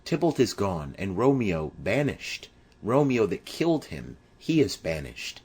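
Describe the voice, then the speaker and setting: A man's voice: on the low side, sing-song, measured pace, darkish tone, breathy edge, exaggerated voice. A man voicing a distraught, wailing female servant who laments in repeated phrases, in a Shakespearean audiobook. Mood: grieving. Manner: lamenting, repetitive.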